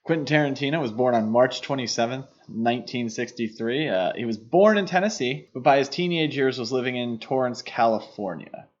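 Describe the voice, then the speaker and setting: A man's voice: mid-range voice, animated, brisk, few pauses. A man co-hosting a podcast, talking through film history in a conversational way. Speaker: podcast host. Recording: dull, lo-fi podcast audio.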